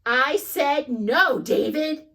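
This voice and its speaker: A stern mother scolding her small son, as a woman puts her on while reading a picture book. She barks short, firm commands in a high voice that leaps up and down. She speaks slowly and deliberately. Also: lively intonation, a bit bright, exaggerated voice.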